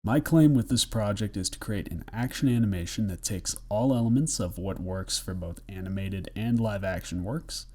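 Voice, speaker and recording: A young man, a student, reading a project presentation aloud in a steady, scripted way, a bit hoarse from the flu. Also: fairly low pitch. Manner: steady, scripted, list-like delivery.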